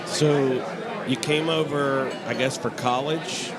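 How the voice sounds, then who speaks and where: A man hosting a casual interview, talking in a halting way with many fillers and restarts. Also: medium pitch, fairly even intonation. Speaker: podcast host. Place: busy bar at a convention. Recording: loud crowd chatter and music behind.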